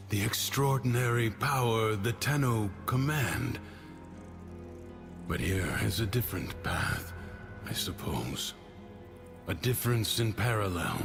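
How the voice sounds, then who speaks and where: An actor playing a calm, cryptic male mentor in a video game, speaking in a measured, solemn manner. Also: fairly low pitch. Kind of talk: cinematic game dialogue. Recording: loud music behind the voice.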